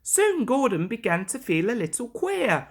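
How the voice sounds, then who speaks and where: A woman's voice: on the high side, big pitch swings, normal speed, husky. A woman narrating a children's story as its storyteller.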